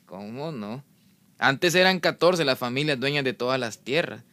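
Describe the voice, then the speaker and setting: Salvadoran campesino, bright forceful voice, medium pitch, sardonic, aggrieved, folksy radio play monologue. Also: male voice, expressive, normal speed, no room sound. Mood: sardonic, indignant. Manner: folksy irony, rhetorical questions.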